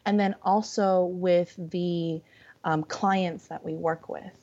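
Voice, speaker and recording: A young woman with a Californian accent, a guest chatting casually, friendly and unhurried, with many fillers. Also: on the low side, steady tone, a little mellow. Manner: friendly, fillers and laughs, deliberate. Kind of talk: casual conversation. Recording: dull lo-fi sound.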